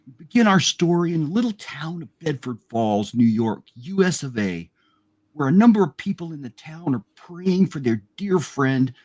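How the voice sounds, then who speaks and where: Male narrator and host, husky mid-pitched voice, very animated theatrical storytelling for a radio play.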